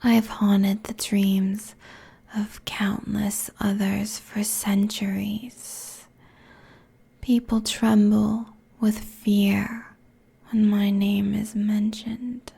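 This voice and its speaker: A woman's voice: mid-range voice, little pitch movement, very slow, creaky, warm tone, slightly rough, exaggerated voice. A woman playing an ancient, seductive and menacing succubus demon queen in an ASMR roleplay, whispering breathily and taunting with haughty deliberation into a close binaural mic. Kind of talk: ASMR roleplay audio drama. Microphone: close binaural microphone.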